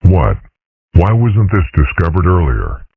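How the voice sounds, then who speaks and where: Man voicing a very deep, pompous dragon father. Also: sing-song, measured pace, husky, no echo, narrow, tinny audio, full character voice. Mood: dismayed. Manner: pompous, theatrical, wordy. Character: grandiose, melancholy adult male dragon, a dignified father. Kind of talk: comic dub character acting.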